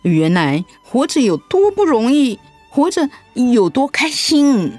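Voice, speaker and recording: An actress playing a sensitive, affectionate but easily hurt middle-aged wife in a radio drama, with a Taiwanese accent and a pouting, questioning manner. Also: female voice, high voice, very animated, takes it slowly, a little mellow, faint music behind, exaggerated voice. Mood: grateful, reminiscing.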